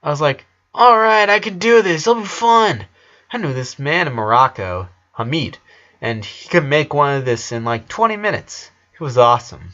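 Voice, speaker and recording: A man's voice: fairly high pitch, animated, normal speed, a bit bright, muffled recording, voice pushed up. A man voicing a weary, friendly fourteen-year-old boy in an audiobook story, chatty and hesitant with a wistful manner.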